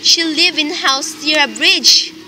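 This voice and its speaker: A woman's voice: high voice, takes it slowly, background noise. A woman playing an anxious, imperious, exasperated wife in an amateur radio play, speaking in a melodramatic, insistent way. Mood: agitated, pleading. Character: anxious, imperious, exasperated invalid wife reporting a murder plot.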